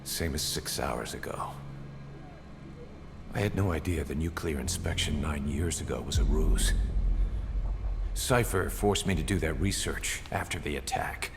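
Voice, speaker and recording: An actor playing a coolly analytical male military interrogator, measured and matter-of-fact, in a dramatic video game. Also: very deep voice. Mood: cool, guarded. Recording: long echoing reverb under music. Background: music.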